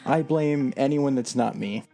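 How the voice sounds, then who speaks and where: A man's voice: mid-range voice, unhurried, creaky, dark tone, faint music behind. A man co-hosting a podcast in casual banter, joking in a dry, self-deprecating way. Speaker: podcast co-host.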